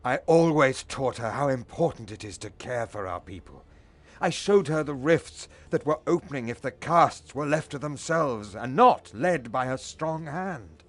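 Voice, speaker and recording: An actor playing a proud, hot-tempered old father and clan leader in a fantasy game, speaking formally and emphatically. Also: medium pitch.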